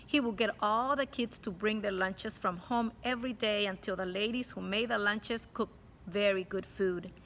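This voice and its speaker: Bright, gravelly-voiced teacher reading aloud. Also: female voice, mid-range voice, moderate pace, a little hiss or hum. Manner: warm, explains to children directly. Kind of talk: read-aloud storytelling with commentary.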